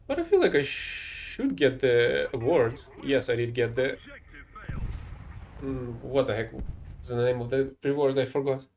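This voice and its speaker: A man's voice: medium pitch, expressive, a little slow, darkish tone, slight background noise. A young man who speaks English as a second language, rambling and correcting himself as he casually commentates his own gameplay. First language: not English. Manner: rambling, self-correcting, mildly exasperated. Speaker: gameplay streamer.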